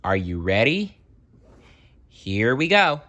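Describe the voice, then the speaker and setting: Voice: mid-range voice, big pitch swings, slow, fairly bright tone, muffled recording. A storybook reader narrating expressively for young children and addressing the listeners directly. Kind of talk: animated children's read-aloud narration.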